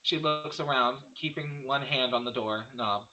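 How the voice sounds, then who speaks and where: A man's voice: mid-range voice, a little slow, bright, forceful tone, lo-fi sound. A man narrating stage directions from a script at a virtual table read.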